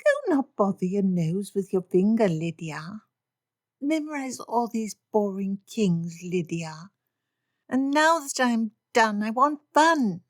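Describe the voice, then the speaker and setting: A woman voicing a spoiled, whiny teenage girl who begs in high, drawn-out pleas. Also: very animated, no room sound, studio quality. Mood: whiny pleading. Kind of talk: audiobook character reading.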